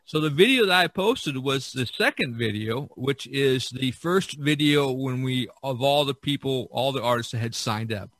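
A man's voice: medium pitch, normal speed, hardly any pauses. A middle-aged man telling stories casually as a podcast host, rambling and often repeating himself. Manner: rambling, repeats himself, animated when quoting others. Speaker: podcast host, visual artist. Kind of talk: casual storytelling in conversation.

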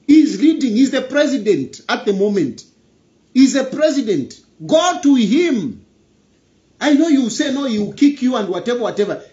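A man's voice: extremely high pitch, big pitch swings, normal speed, warm tone, dull audio. A young Zambian man with a Zambian accent giving an emphatic political commentary indoors, repeating his key phrases and slipping into a local language. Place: indoor room.

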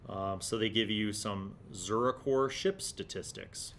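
A man's voice: measured pace, a bit bright. Middle-aged man, casual, noisy recording. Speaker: host of old gaming content series. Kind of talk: casual vlog commentary and review. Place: small indoor studio room. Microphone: desktop condenser microphone. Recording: clear background noise, low rumble.

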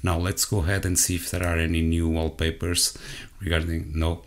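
A man from Portugal with a Portuguese accent, hosting a software walkthrough in English as his second language, hesitant and full of self-corrections, talking straight to his viewers. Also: deep voice, level tone, normal speed. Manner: addresses viewers directly. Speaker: tech channel host. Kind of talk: screen-recorded software walkthrough.

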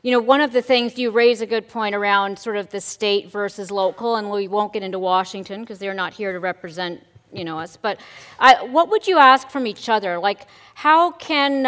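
A woman's voice: mid-range voice, fairly bright tone. A woman in her fifties from Canada, moderating a panel with a breezy, joking manner.

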